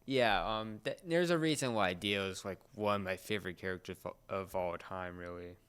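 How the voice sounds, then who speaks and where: Smooth, low-voiced young man. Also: normal speed, fairly bright tone. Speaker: podcast co-host. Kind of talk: casual pop culture discussion.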